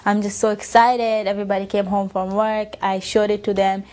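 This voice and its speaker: A woman's voice: medium pitch, little pitch movement, moderate pace, a little mellow. A nineteen-year-old woman from Afghanistan and Pakistan, giving informal interview answers. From born in Afghanistan, raised in Pakistan.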